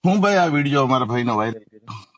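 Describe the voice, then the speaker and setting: A man's voice: mid-range voice, expressive, fast, fairly bright tone, no room sound, lo-fi sound. A man from Gujarat with a Saurashtra Gujarati accent in a confrontational phone conversation, insistent and challenging. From Gujarat, India. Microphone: phone call.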